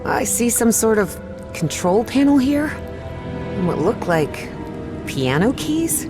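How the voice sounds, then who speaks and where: A woman's voice: fairly high pitch, big pitch swings, slow, over music. An actress playing an eager, curious young woman explorer in a video game.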